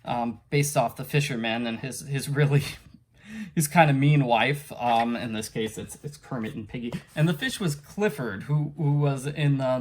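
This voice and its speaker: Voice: mid-range voice, slight background noise. Hesitant, rambling casual book reviewer. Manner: rambling and hesitant, often trailing off and chuckling.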